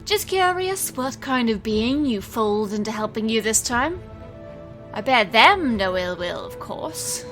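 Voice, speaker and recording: A young stage magician heroine with a fairly high, bright and forceful voice. She is sarcastic, theatrical and defiant, moving between first-person narration and dramatic dialogue. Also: very animated, a little slow, soft background music. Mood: taunting.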